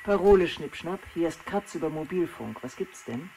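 A woman's voice: on the low side, animated, normal speed. A female performer in a children's radio play playing a terse, unflappable young agent calling over a mobile phone line. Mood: terse, dry. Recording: noisy clicking mobile phone call.

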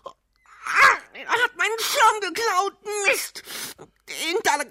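An actor voicing a tiny, anxious male alien visitor in a children's radio play, halting and plaintive. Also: very high-pitched, animated, sharp tone, dry recording, clean studio sound, full character voice. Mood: upset, frantic.

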